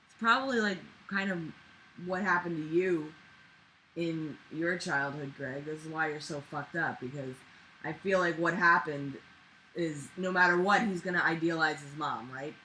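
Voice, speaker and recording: A woman's voice: low-pitched, normal speed, pushed and bright, a little hiss or hum, rough recording. A woman reading aloud with casual, sarcastic, exasperated running commentary and swearing.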